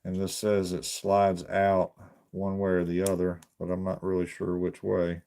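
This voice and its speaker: A man giving casual unboxing commentary, haltingly thinking aloud as he hosts his video. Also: sits low, monotone, dark tone.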